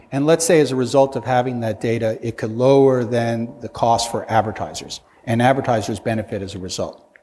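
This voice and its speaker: A man's voice: fairly high pitch, darkish tone. A man on a conference panel, his slightly raised voice carrying through a reverberant hall. He presses his point with one rhetorical question after another, often restarting mid-sentence. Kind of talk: panel discussion.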